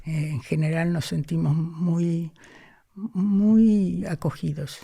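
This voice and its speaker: A woman, talking slowly and thoughtfully as a guest in an interview. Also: lowish voice, fairly even intonation, vocal fry, dark tone, husky, no room sound, clean studio sound. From Argentina. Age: 80s. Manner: unhurried, thoughtful, frequent hesitations. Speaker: guest, psychoanalyst. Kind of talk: reflective interview conversation.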